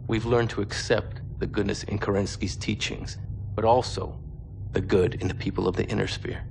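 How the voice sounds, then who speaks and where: An actor playing a grave, principled male mech commander in a game cutscene, speaking in a formal, measured, commanding way. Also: on the low side, flat delivery, creaky, darkish tone, a little hiss or hum. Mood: stern, measured. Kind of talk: dramatic video game cutscene acting. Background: dramatic music.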